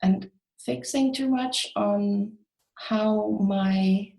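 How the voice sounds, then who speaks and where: A woman's voice: medium pitch, extremely slow, creaky, dark tone, soft background music, poor audio. A middle-aged woman answering as an interview guest, reflective and conversational, expressively voicing imagined quotes to illustrate her points. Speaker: interview guest, expat coach.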